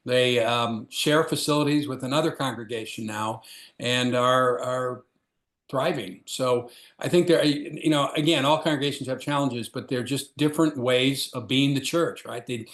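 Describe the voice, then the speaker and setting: A man's voice: medium pitch, fairly even intonation, normal speed, a bit bright. Man introducing a speaker, roomy echo. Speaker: host, former pastor.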